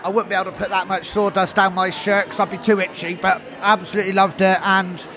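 A man's voice: high voice, little pitch movement, fast. A man with a British accent giving an enthusiastic, jokey, casual reaction as an audience member. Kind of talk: casual vox pop. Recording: noisy crowd around the voice. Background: crowd chatter.

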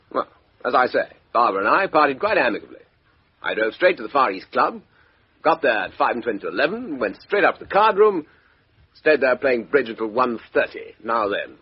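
An actor playing a blustering, indignant English army major under questioning in a 1950s radio drama. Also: male voice, medium pitch, big pitch swings, fairly quick, slightly rough. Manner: blustering, indignant, rising to panic. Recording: dull lo-fi old radio recording.

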